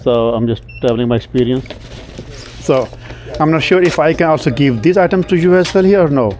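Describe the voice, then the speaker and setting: A man from Pakistan vlogging his trip, chatting with strangers in a friendly, halting way. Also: fairly high pitch, darkish tone, soft background music. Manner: friendly, halting, lots of "okay" and "thank you". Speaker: travel vlogger. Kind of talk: casual vlog chat with strangers. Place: outdoors and in shops in a city. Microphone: handheld camera mic.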